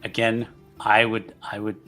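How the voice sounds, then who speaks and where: A man voicing an earnest, cautious tavern-goer in a tabletop roleplaying game, polite and halting, often repeating words. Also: lowish voice, monotone, bright, forceful tone, soft background music. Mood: grave, concerned. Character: soft-spoken, earnest male tavern regular, cautiously warning.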